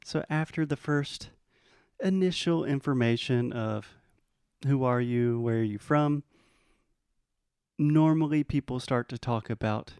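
An American man teaching English in a bilingual lesson, explaining patiently and modeling phrases slowly. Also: mid-range voice, darkish tone, clear, resonant tone, no room sound, clean studio sound. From United States.

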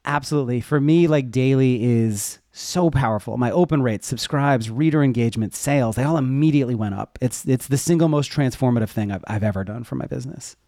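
A man's voice: mid-range voice, a little fast, hardly any pauses, dark tone, no echo. Casual man chatting, dry close sound. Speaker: podcast guest, web development educator. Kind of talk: casual interview talk.

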